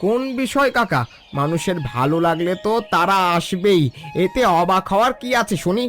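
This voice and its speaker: Man as a high-voiced, hearty village shopkeeper. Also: animated, normal speed, fairly bright tone, faint music behind. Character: friendly, generous village market shopkeeper. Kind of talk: cartoon story dialogue.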